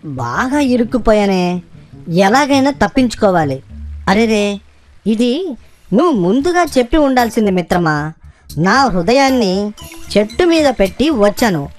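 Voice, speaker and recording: An actress playing a clever, friendly monkey in a children's animated story, playful and theatrical. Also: female voice, mid-range voice, a little slow, long pauses, soft background music. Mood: worried, cunning.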